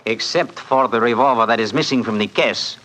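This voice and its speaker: An actor playing a grave, wary Brazilian manservant, speaking formally with a Brazilian accent in 1940s radio style. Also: male voice, mid-range voice, brisk, pushed and bright, a little hiss or hum, natural voice. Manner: formal, foreign phrasing.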